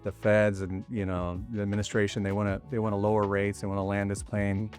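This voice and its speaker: A middle-aged man with a fairly low voice talks easygoing and explanatory, leaning on "you know" as he goes. Faint music plays softly behind him. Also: fairly quick. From raised partly in Costa Rica. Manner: relaxed, explanatory, many "you know" fillers. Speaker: mortgage loan officer, guest. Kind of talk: casual interview chat.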